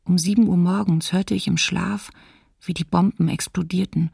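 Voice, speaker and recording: Breathy, creaky young diarist. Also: female voice, lowish voice, fairly even intonation, moderate pace, dry recording, clean studio sound, far from the mic, exaggerated voice. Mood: subdued, grave. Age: young adult. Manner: quiet, understated. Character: subdued young female student reading her wartime diary. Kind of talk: intimate scripted diary reading in radio drama.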